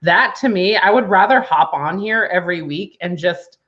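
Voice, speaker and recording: A woman in her thirties co-hosting a casual chat, rambling with quick asides and giggling often. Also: on the low side, a bit bright. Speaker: podcast co-host. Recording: dull lo-fi sound.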